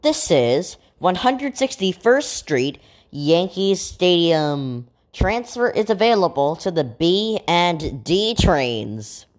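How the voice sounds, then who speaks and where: A woman delivers scripted transit announcements in a slightly low voice. She speaks slowly and formally, with pitch that rises and falls widely across each phrase, and sets listed items apart with clear pauses. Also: sing-song. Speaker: subway train announcer.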